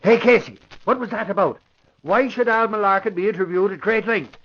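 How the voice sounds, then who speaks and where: An actor playing a blustery, nosy, flustered sidekick in an old-time radio drama. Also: male voice, sits high, brisk. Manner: blustering, excitable. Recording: muffled, noisy old radio recording.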